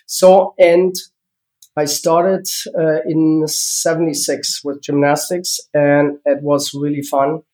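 A man in his fifties with a German accent tells his story in English, a language he learned later, in a fairly high voice. He pauses often and hunts for words, filling the gaps with ums and uhs. The pace is steady, as in an interview. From East Germany. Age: 50s. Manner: halting, searching for English words, frequent fillers. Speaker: guest, former gymnast.

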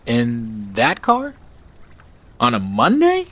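Affable traveler, swooping intonation. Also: male voice, mid-range voice, huge pitch swings, slow, a bit bright, heavily acted. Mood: casual, teasing. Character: affable, knowing male RV traveler, subtly probing. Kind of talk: naturalistic audio drama acting.

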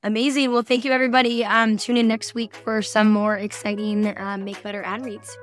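A woman's voice: on the high side, level tone, brisk, soft background music. A woman co-hosting a podcast, chatting casually through a review in an agreeable way. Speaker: podcast co-host.

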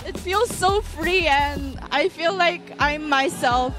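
A woman from South Korea, speaking to a reporter at a pride parade, slow, joyful and sincere. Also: sits high. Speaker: pride attendee. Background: loud parade music.

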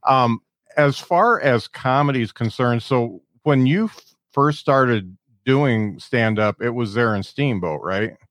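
A man's voice: medium pitch, expressive, takes it slowly, fairly bright tone, dry recording. A man hosting a podcast, laid-back and hesitant, asking casual questions. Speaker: podcast host. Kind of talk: casual interview chat.

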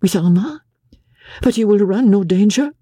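Figure: anxious young noblewoman, as a reader puts her on. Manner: quick, feverish, pleading. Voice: soft, mellow and husky, rising high and swinging widely in pitch. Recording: dry and close. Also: animated, no echo. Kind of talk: audiobook character voice.

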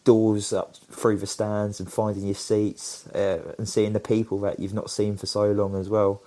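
Man, chatty and rambling, fairly low voice, football podcast. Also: flat delivery, a little slow, warm tone. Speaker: podcast co-host. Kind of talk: casual football chat.

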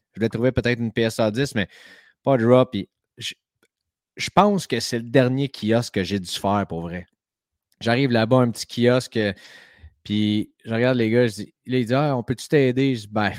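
A Québécois man hosts a casual podcast and tells animated stories with plenty of "tu sais" asides. His voice sits at a middle pitch. The recording is dry and close, with no room sound. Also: no echo. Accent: Québécois French. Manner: animated storytelling, frequent "tu sais". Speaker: podcast host. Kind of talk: casual chat.

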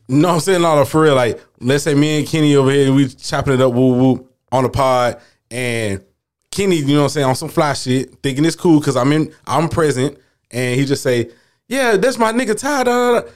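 A man's voice: medium pitch, normal speed. A man, talking in loose slang on a casual podcast, full of filler and emphatic repeats. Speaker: podcast guest.

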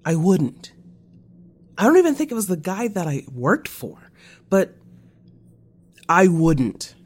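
Slow-talking, remorseful confessed spy. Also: female voice, lowish voice, sing-song, breathy edge, heavily acted. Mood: guilty, insistent. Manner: code-switches between English and Spanish. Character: remorseful confessing former spy, slangy and earnest. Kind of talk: naturalistic audio drama acting.

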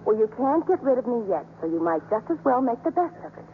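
An actress playing a brisk, chatty, opinionated newspaper columnist in a 1950s radio drama. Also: female voice, a little high, big pitch swings, a little hiss or hum. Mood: fussy, nosy. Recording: muffled 1950s radio broadcast, music behind.

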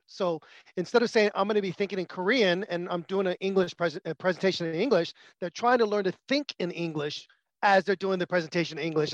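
A man's voice: high-pitched, dry recording, dull audio. A man co-hosting a podcast, responding to his guest in a reflective, affirming way. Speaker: podcast co-host. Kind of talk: casual interview chat.

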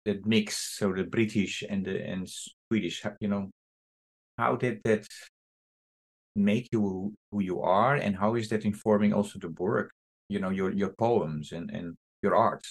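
A man hosting a podcast interview, asking halting questions full of restarts and fillers. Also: lowish voice, fairly even intonation. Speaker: podcast host.

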